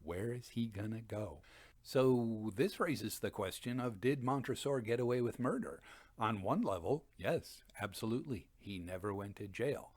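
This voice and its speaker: Guy host talking books, conversational but emphatic, really animated pitch, dry close sound. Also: moderate pace, no echo. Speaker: host and dramatic reader. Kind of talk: conversational literary commentary.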